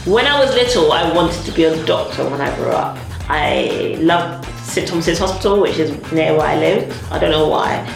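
A woman's voice: low-pitched, normal speed, vocal fry, few pauses. A woman comedian answering light questions in a casual promotional interview. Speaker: stand-up comedian. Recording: echoey room with music underneath.

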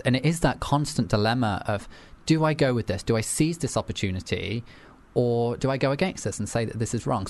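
A man hosting a podcast, talking in an earnest, animated way and quick to agree with his guest. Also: mid-range voice. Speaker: podcast host. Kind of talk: casual conversational interview.

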